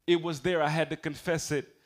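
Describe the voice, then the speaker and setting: A man's voice: on the high side, level tone, a little fast. A man in his forties with an American accent preaching as a pastor, mixing personal testimony with emphatic, conversational appeals for amens. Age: 40s. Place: speaking to a congregation in a church building.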